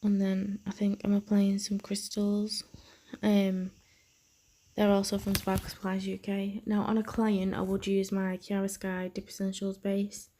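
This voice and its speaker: A young woman, a nail technician, narrating a tutorial in a soft half-whisper with a slightly croaky voice. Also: medium pitch, monotone, a little slow. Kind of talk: tutorial voice-over.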